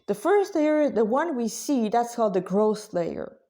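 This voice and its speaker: A woman giving an informal spiritual talk to listeners as a religious vlogger, conversational and halting, often correcting herself. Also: a little high, big pitch swings, mellow. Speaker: religious vlogger, Krishna devotee.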